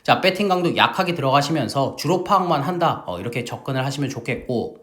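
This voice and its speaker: A man's voice: mid-range voice, quick pace. A middle-aged man hosting a horse racing tips broadcast, talking with emphatic, salesman-like energy to his viewers and just getting over a sore throat. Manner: lively, emphatic salesman-like delivery, addresses viewers as family. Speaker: horse racing tipster host.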